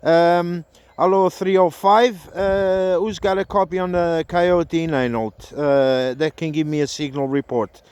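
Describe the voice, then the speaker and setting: A man's voice: a little high, fairly even intonation, a little slow, hardly any pauses, darkish tone, smooth, dry recording. A man making radio contact as a CB operator, speaking hesitantly and repeating himself. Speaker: CB radio operator testing an antenna. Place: outdoors in a park.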